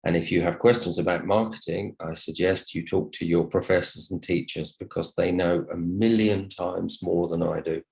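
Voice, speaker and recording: A man's voice: low voice, steady tone, normal speed, creaky, hardly any pauses, a little mellow, low-quality recording. A man teaching English online, talking to his students in a polite, encouraging way. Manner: polite, encouraging, thanks listeners often. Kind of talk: online lesson talk to students. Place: online video call session.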